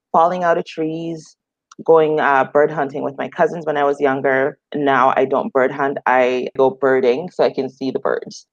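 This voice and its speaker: A woman's voice: very deep voice, no room sound. Chatty woman from Jamaica, dry sound. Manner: relaxed, chatty, frequent fillers. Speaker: guest, outdoor group founder. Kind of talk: casual conversational interview answers. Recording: dry, slightly dull remote audio.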